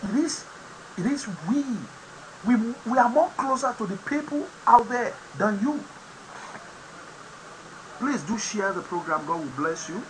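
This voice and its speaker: A man with a Nigerian accent whose first language is Yoruba hosts an online program, giving impassioned political commentary with sudden loud, emphatic bursts. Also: high voice, animated, unhurried, pauses often, a little hiss or hum, poor audio. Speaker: host of an online talk program.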